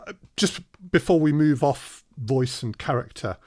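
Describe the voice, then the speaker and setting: A man's voice: medium pitch, animated, a little slow, a little mellow, no echo, studio quality. A man co-hosting a conversational workshop, talking discursively and building up to his questions. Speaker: workshop co-host and interviewer.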